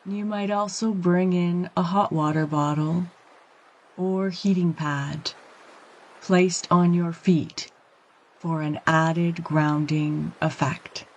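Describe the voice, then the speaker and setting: A woman guiding a yoga nidra meditation, speaking in a calm, gentle, soothing way with measured phrases and long pauses. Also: on the low side, low-quality recording. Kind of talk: guided meditation, slow and soothing instruction.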